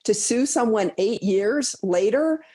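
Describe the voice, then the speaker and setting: A woman giving unscripted legal commentary in a measured, halting way with stammered restarts. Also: on the high side, expressive, dark tone, husky.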